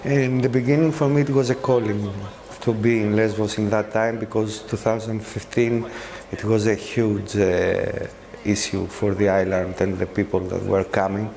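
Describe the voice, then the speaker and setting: Man from Greece, gravelly low voice, long reverb, documentary interview. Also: level tone, normal speed, a little mellow, a little hiss or hum.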